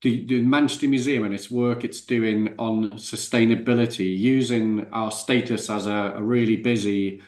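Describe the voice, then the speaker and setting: A man with a fairly low voice chairs a university panel in a roomy, echoing space. He talks informally, thinking aloud and repeating small words as he links the speakers. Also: a little mellow. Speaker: session chair.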